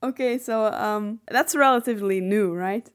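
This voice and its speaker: A woman's voice: medium pitch, moderate pace, clean tone. A woman co-hosting a podcast in casual discussion. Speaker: podcast co-host.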